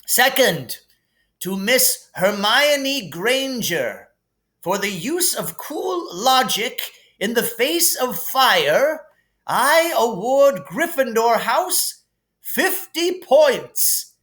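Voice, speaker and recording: A man voicing an elderly, wise and kindly wizard headmaster with a stately, deliberate delivery, as in a storybook reading. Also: extremely high pitch, very animated, pushed and bright, voice pushed up, exaggerated voice. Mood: proud, solemn.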